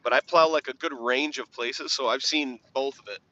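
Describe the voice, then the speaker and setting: A young man with a slightly raspy voice, chatting casually on a live stream while plowing snow and answering viewers' questions. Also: mid-range voice, moderate pace, sharp tone. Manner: laid-back, rambling, reads chat aloud. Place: inside a truck while plowing. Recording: dull lo-fi stream audio with faint engine noise.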